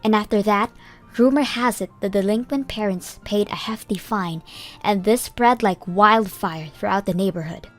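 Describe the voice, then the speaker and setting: A woman's voice: medium pitch, moderate pace, faint music behind. A woman reading a scripted first-person story as the narrator of a comic dub.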